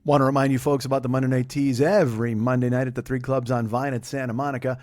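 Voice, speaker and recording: A man's voice: mid-range voice, flat delivery, fast, a little mellow, soft background music. A man in his forties hosting a comedy podcast, talking in a loose, rambling monologue that trails off into fillers and swears freely. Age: 40s. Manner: self-mocking.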